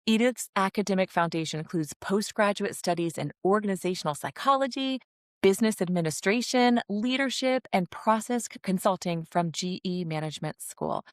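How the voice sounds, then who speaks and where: A woman's voice: medium pitch, lively intonation, moderate pace, no echo, studio quality. A woman hosting a podcast, reading an enthusiastic introduction of her guest. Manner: enthusiastic, effusive. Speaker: podcast host.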